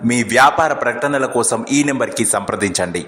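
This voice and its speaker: A man's voice: medium pitch, animated, fairly quick, fairly bright tone. A man reading a scripted promotional announcement in a reverberant recording. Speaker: advertisement announcer. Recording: echoey, long reverb.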